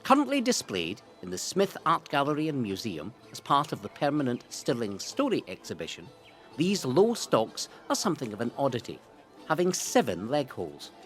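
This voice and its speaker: A Scottish man narrates history like a showman, his pitch swinging widely from phrase to phrase. He leans on key words with deliberate, theatrical relish. Music plays clearly behind his scripted delivery. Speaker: narrator of a local history audio guide.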